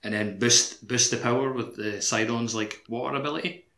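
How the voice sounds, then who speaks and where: A man's voice: mid-range voice, steady tone, moderate pace, bright, forceful tone. A man chatting enthusiastically about a game on a podcast, peppering his speech with "like". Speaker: podcast co-host. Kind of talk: casual chat about a video game. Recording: echoey room.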